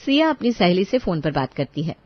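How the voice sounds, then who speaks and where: Low-voiced woman narrating a story. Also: fairly quick, no room sound. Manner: even, storytelling. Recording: dull, dry studio recording.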